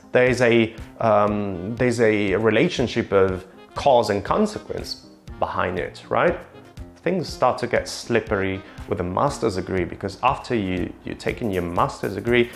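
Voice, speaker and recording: Italian guy w/ a lowish voice, sarcastic animated vlog rant, faint music behind. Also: creaky. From Italy. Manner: ironic, animated, exasperated rants. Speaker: vlogger, linguist. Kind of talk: casual vlog monologue.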